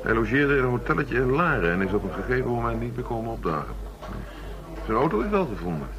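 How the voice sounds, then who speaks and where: A man's voice: medium pitch, sing-song, fast, over music. An actor playing a thoughtful, matter-of-fact male police chief inspector in a radio drama. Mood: businesslike. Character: male police chief inspector methodically reviewing murder cases. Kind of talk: radio drama acting, naturalistic dialogue. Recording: dull lo-fi radio recording, crowd murmur.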